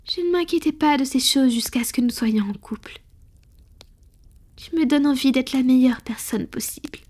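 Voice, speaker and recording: Casting: tender, morally torn vampire lady played by a woman, high breathy voice, whispered intimate ASMR roleplay delivery. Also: a little mellow. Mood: vulnerable, hesitant. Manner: slow, intimate, hesitant. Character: tender, conflicted wealthy female vampire confiding in her beloved.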